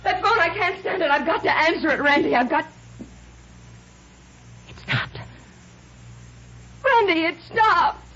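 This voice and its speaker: A woman's voice: very high voice, expressive, a little slow, long pauses, pushed and bright, full character voice. An actress playing a frantic, terrorized woman near collapse, pleading and repeating her words in a 1950s radio crime drama. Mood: panicked. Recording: dull, noisy old radio broadcast.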